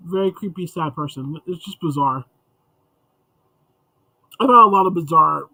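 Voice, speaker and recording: A man's voice: fairly high pitch, pushed and bright, no room sound, low-quality recording. A man hosting a casual livestream chat, rambling and chatty with frequent restarts, sounding congested and sniffly. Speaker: livestream host.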